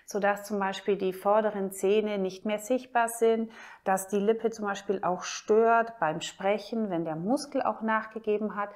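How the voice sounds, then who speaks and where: A woman plastic surgeon with a German accent, calmly explaining a cosmetic procedure to the camera. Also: medium pitch. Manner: calm, measured and informative, points while explaining.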